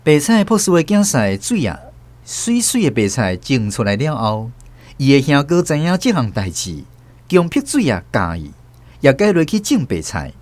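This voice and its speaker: A man narrating a radio drama as host, with expressive storytelling. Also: mid-range voice, moderate pace, no echo.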